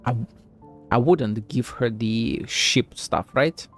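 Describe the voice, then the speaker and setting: A male streamer talks through a game in a casual, mid-pitched voice. He is sceptical and freely profane, thinking aloud over soft background music. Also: slow pace. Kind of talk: casual live gameplay commentary.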